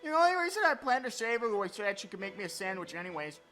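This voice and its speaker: A woman's voice: medium pitch, big pitch swings, fast, pushed and bright, soft background music. A woman voicing a cocky, foolish young male anime hero in a comedic parody dub, sarcastic and smug. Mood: casual, smug.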